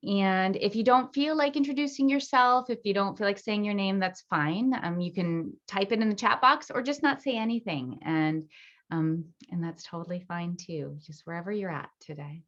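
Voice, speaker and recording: A woman leads a group video call as an intuitive guide. She speaks in a soft, hesitant way and leaves plenty of ums. Her voice sits at a middling pitch, and the sound is a little dull. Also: moderate pace. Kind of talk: gentle group circle facilitation.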